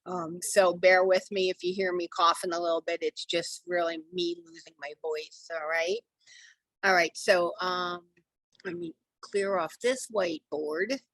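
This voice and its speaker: A middle-aged woman with a lowish, slightly hoarse voice leads a live online class in a chatty, reassuring way, filling pauses with ums. Her video-call audio is dry and close. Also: fairly bright tone, no room sound, poor audio. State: losing her voice. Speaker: real estate software trainer. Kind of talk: live online training session.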